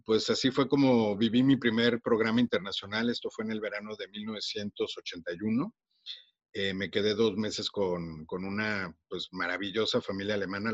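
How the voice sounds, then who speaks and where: A man's voice: on the low side, no echo, muffled recording. A Mexican man in his forties with a Mexican accent, hosting a podcast and telling his own story casually, with many fillers and restarts. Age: 40s. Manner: warm, unhurried, frequent fillers and restarts. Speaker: podcast host.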